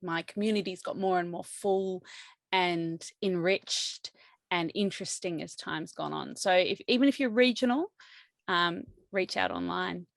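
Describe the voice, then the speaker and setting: A woman's voice: fairly low pitch, measured pace, creaky, no room sound, clean studio sound. A woman hosting an interview, affirming her guest with enthusiasm and frequent laughter. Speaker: interview host. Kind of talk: conversational interview.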